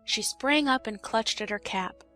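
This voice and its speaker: A woman reading a children's story as an expressive audiobook narrator. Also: a little high, unhurried, faint music behind.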